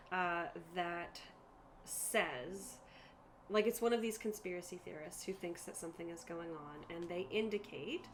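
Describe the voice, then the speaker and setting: A woman running a tabletop roleplaying game, explaining rules and narrating in a conversational way. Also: on the low side. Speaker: game master. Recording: clearly noisy group room recording.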